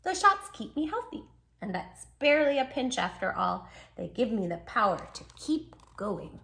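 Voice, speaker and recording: A woman's voice: on the high side, big pitch swings, normal speed, gravelly, bright, forceful tone, exaggerated voice. A woman voicing a sweet, plucky little male dachshund who tells his own storybook tale with earnest, dramatic emphasis. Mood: plucky, reassuring. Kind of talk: children's storybook read-aloud.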